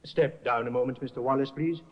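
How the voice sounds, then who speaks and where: An actor playing a sharp, persistent defense barrister cross-examining witnesses in an old radio drama, heard through a dull restored broadcast. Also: male voice, medium pitch, fairly even intonation, a little fast, natural voice. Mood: pressing. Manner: probing, pressing cross-examination. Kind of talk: 1950s radio acting.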